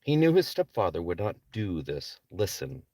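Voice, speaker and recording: A man's voice: sits low, big pitch swings, normal speed, dark tone, dry recording, studio quality. A man reading his own novel aloud as a scripted audiobook narration. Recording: distant microphone.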